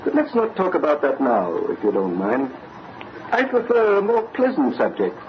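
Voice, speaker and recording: An actor playing a courtly, enigmatic older father, speaking in a measured, formal way in an old-time radio drama. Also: fairly high pitch, huge pitch swings. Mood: genial, mysterious. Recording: muffled, noisy old radio recording.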